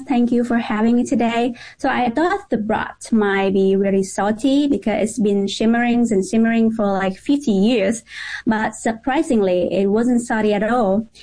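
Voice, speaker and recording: A woman's voice: a little high, a little mellow. A woman from Vietnam with a Vietnamese accent, a journalist speaking conversationally as a radio interview guest.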